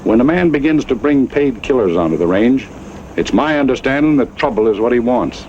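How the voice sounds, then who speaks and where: An actor playing a stern, proud old American cattle baron, grave and deliberate, in a Western radio drama. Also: male voice, mid-range voice, lively intonation, darkish tone, natural voice. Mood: grave accusation. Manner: grave, deliberate, plain-spoken. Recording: muffled old radio recording, faint noise.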